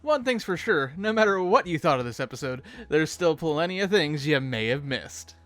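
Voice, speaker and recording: A high-voiced man co-hosts an animated-episode commentary. His intonation swings widely, and he banters in a chatty, animated manner over quiet music. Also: moderate pace. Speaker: co-host of fan commentary video.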